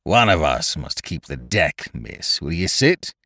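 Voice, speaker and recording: A man's voice: a bass voice, sing-song, measured pace, creaky, sharp tone, dry recording, studio quality, full character voice. A man voicing a grim ship's ringleader who speaks curtly with a seaman's growl. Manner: curt, growling seaman's speech.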